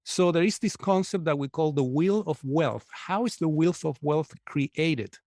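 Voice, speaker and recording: A man's voice: on the high side, a little slow, darkish tone, no room sound, studio quality. Earnest Chilean-born man in his fifties. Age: 50s. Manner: earnest, methodical, repeats words while searching. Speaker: guest, mortgage broker. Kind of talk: interview answers.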